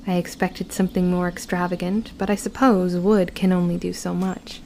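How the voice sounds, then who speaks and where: Audio drama lead: stubborn, brooding young witch, mellow tone, contained pitch, period wording. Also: little pitch movement, vocal fry, a little hiss or hum. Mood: brooding, reflective. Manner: measured, formal old-fashioned phrasing. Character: guarded, stubborn, brooding young witch narrating her own story. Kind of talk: scripted first-person audio drama narration.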